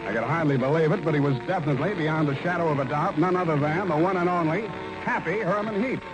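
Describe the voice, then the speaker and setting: An actor playing a hard-boiled American private detective in a radio drama, narrating and talking in a wry, clipped, tough way. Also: male voice, a little high, fast, close to an everyday voice, low-quality recording. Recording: old radio recording, loud music bed.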